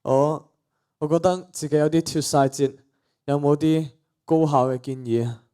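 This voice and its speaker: A man's voice: on the high side, steady tone, normal speed, dark tone, clean tone, lightly acted. A teenage boy with a Cantonese accent playing an exasperated, principled young content creator in a radio play, with a sighing, grumbling manner. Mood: defeated. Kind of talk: student radio play acting.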